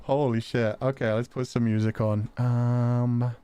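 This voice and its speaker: A man's voice: mid-range voice, little pitch movement, measured pace, mellow, clean tone. A man livestreaming and chatting casually with viewers in a wry, sarcastic way, swearing now and then.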